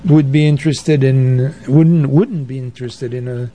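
A man with a soft, mellow voice answers in an unscripted radio interview. His pitch sits in the middle for a man, and he often pauses and restarts as he looks for the right word. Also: fairly even intonation. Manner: halting, searching for words. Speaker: guest, community radio and arts centre founder. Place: radio station.

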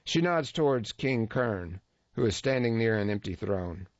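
A man's voice: lowish voice, lively intonation, a little slow, no echo. A man reading a first-person feature story aloud in a wry, dryly humorous way. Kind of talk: scripted first-person narration. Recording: dull lo-fi dry recording.

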